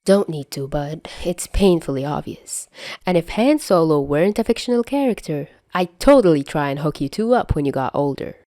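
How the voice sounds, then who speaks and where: A fondly exasperated fatherly man read by a woman, teasing yet protective and soothing. The voice is mellow and dry, up close, as in an audiobook drama. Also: deep voice, expressive, normal speed, no room sound.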